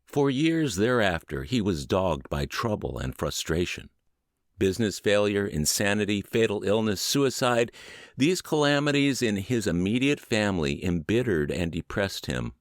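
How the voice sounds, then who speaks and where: Low-voiced man narrating with lively intonation. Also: no echo, clean studio sound. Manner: earnest, measured, expressive reading. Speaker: podcast narrator reading a book aloud. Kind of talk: scripted audiobook narration.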